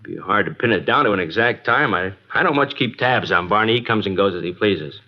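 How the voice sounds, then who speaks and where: A man's voice: lowish voice, lively intonation, a little fast. A man acting a guarded, reluctant working-class garage owner in an old-time radio drama, colloquial and grudging. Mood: cooperative. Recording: dull vintage radio broadcast.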